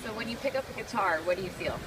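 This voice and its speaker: A woman's voice: mid-range voice, expressive, very fast, recorded from a distance. A woman hosting a casual interview, speaking in an earnest, motivational way. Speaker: interviewer, host. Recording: outdoors with loud crowd and traffic.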